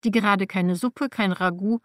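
A woman's voice: mid-range voice, moderate pace, a bit bright, no room sound, clean studio sound. A woman whose first language is Slavic reads a personal nonfiction text aloud in a wry, matter-of-fact way. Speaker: narrator.

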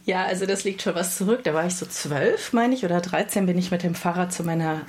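A woman author answering interview questions at a measured pace, often pausing to search for words. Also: lowish voice, a little mellow. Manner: measured, with frequent hesitations. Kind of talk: thoughtful radio interview answers.

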